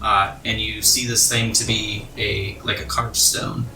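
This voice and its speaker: A man's voice: fairly low pitch, level tone, unhurried, creaky. A man narrating a tabletop role-playing game as game master, in a casual conversational way. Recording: echoey, distant mic under loud music.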